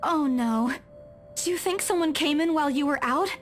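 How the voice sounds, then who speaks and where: A woman's voice: high-pitched, moderate pace, faint music behind, close to an everyday voice. An actress playing a plucky young female realtor who brushes things off in a visual novel game. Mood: worried.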